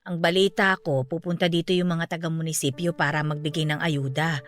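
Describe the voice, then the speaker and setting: An actress playing a middle-aged aunt in a radio drama, speaking calmly and matter-of-factly. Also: female voice, low voice, a little fast, lightly acted. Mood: somber. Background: faint background music.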